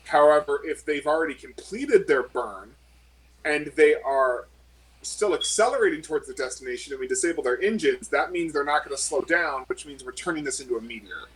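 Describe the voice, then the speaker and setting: A man's voice: fairly high pitch, animated, brisk, breathy edge, low-quality recording. A man playing a tabletop game over a call, thinking aloud and teasing the others. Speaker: player.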